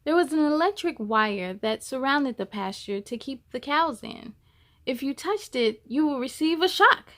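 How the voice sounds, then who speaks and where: A woman reading a children's picture book aloud in an expressive, measured storytelling style. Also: high-pitched.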